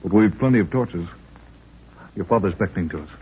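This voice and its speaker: An actor playing an eager, respectful young adventurer in 1930s radio drama style. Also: male voice, low voice, animated, brisk, breathy edge, full character voice. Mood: curious, admiring. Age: young adult. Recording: tinny, band-limited 1930s radio recording.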